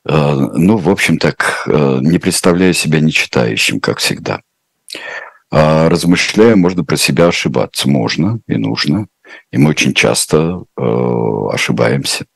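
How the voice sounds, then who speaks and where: A male translator answering viewer questions in an unscripted, reflective way, often pausing on filler sounds as he thinks. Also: a bass voice, lively intonation, gravelly, slightly rough, no room sound, clean studio sound. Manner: hesitant, thoughtful, heavy with filler sounds.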